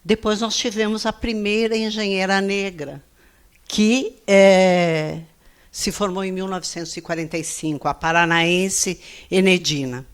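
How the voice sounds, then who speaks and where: A woman, giving a measured panel presentation as an engineer. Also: medium pitch, steady tone, clean tone. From Rio Grande do Sul, Brazil. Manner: measured, slide-by-slide presenting with frequent "né?". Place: event hall, seated at a panel table.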